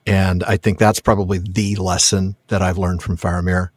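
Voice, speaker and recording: A man's voice: low-pitched, flat delivery, moderate pace, dry recording. A man hosting a podcast in casual, reflective discussion, enthusiastic and given to repeating words for emphasis. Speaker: podcast host.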